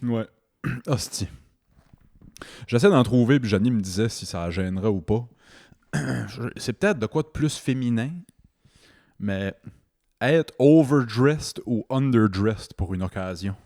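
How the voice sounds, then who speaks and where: A man with a Québécois French accent co-hosting a casual podcast, easygoing and quick to agree with short replies. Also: mid-range voice, expressive, gravelly, a little mellow, no room sound, clean studio sound. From Quebec. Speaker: podcast co-host. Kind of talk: casual chat.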